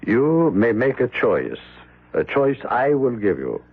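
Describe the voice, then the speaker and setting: An actor playing Death incarnate in a radio drama, a solemn, merciless spectre with gravelly, formal speech. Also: male voice, medium pitch, sing-song, full character voice. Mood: solemn, menacing. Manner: slow, solemn, formal, commanding. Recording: muffled, narrow-band old radio recording.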